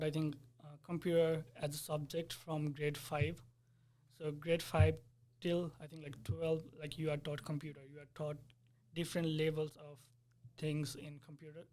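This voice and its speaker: Young man, flat and earnest. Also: a little high, normal speed. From Nepal. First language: Nepali. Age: 20s. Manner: earnest, explanatory, searching for words. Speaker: software developer, interviewee. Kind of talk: casual interview.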